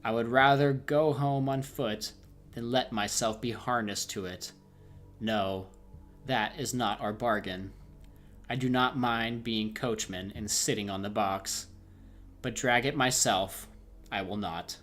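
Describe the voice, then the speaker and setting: A man's voice: mid-range voice, measured pace, fairly bright tone, faint music behind, close to an everyday voice. A man reading the part of a proud, stubborn male rooster in a fairy tale, in a plain read-aloud voice. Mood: indignant.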